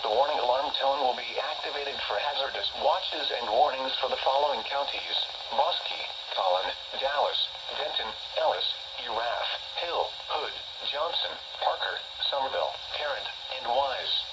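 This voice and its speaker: A man reading a scripted public warning test as a weather radio announcer, in a formal, measured, automated-sounding delivery. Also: mid-range voice. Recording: noisy, distant weather radio broadcast.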